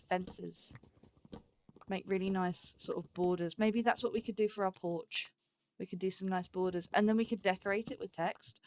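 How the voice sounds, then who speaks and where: A woman's voice: medium pitch, slight background noise. A woman giving casual, rambling gameplay commentary, thinking aloud with a playful, self-chiding manner. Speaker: let's play host.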